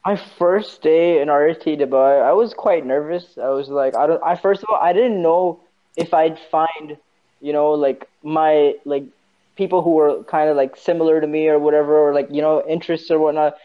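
Dull-sounding, higher-voiced young man chatting casually. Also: fairly even intonation, moderate pace. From Saudi Arabia. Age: young adult. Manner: informal, loosely rambling, joking. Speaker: podcast guest. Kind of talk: casual chat.

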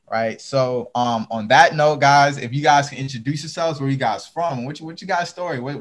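A man hosting a casual podcast interview, talking in an upbeat, slangy way with plenty of fillers and restarts. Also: medium pitch. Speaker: podcast host.

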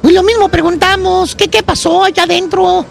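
An actor playing a nosy, excitable young male coworker who asks prying questions in a radio comedy sketch. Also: very high-pitched, moderate pace, vocal fry, loud music behind the voice, exaggerated voice. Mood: gossipy. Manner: prying questions, soothing advice, comic sketch delivery.

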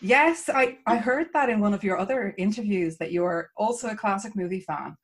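A woman from Ireland with an Irish accent, an author chatting warmly about her writing as an interview guest. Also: mid-range voice, normal speed, slightly rough. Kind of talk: casual interview chat.

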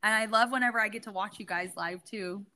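A woman in her thirties talks as a podcast guest, chatty and quick to laugh. Her voice is bright and forceful, and she often trails off mid-sentence. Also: mid-range voice. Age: 30s. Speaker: guest, dog groomer and business owner. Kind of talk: casual podcast chat.

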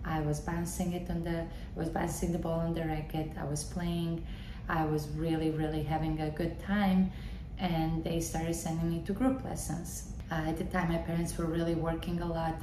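A thirty-year-old woman from Bosnia and Herzegovina with a Bosnian accent, hosting a solo podcast and telling her own story conversationally, repeating words for emphasis. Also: sits low. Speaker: podcast host, tennis coach. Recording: roomy echo with clear background noise.

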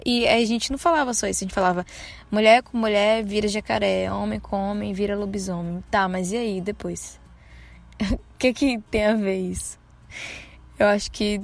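A woman's voice: medium pitch, moderate pace, creaky. A woman in her twenties with a Bahian Brazilian accent, casually telling personal stories as a podcast host. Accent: Bahian Brazilian Portuguese. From Salvador, Bahia, Brazil. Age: 20s. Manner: casual, conversational, self-deprecating.

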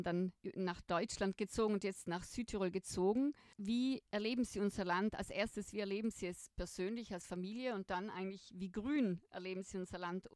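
A woman's voice: medium pitch, a little mellow, no room sound, studio quality. A woman hosting a podcast, asking formal and polite interview questions.

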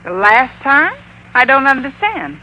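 An American actress playing a wry, long-suffering schoolteacher with dry, sardonic delivery in an old-time radio comedy. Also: female voice, high voice, big pitch swings, a little slow, lo-fi sound. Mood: dramatically wounded. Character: wry, wisecracking adult woman schoolteacher, lovelorn and long-suffering. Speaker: lead actress and narrator. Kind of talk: 1940s-50s radio comedy acting. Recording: vintage radio recording with faint noise.

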